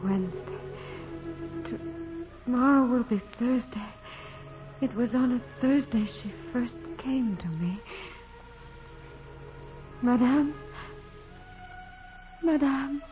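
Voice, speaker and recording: Sick young nun, weak n halting, slow humble pleading, old muffled radio drama. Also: female voice, high-pitched, animated, heavily acted. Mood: anguished. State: weak, sick, straining to speak. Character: frail, devout young nun, humble and self-doubting, gravely ill. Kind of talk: 1940s radio drama acting. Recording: muffled vintage radio recording, music behind.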